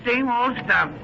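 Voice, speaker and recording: An actor playing an excitable, exclamatory man who is free with his money, in a 1930s radio drama. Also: very high voice, creaky, full character voice. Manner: exclamatory, swooping. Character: excitable, indignant man, loudly generous with money. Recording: noisy muffled 1930s radio recording.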